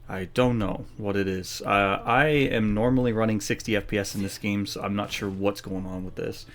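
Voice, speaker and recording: A man's voice: on the low side, fairly even intonation, moderate pace. A man giving casual gameplay commentary, reading some dialogue aloud and adding offhand, wry asides. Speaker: streamer, game player. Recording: faint background noise.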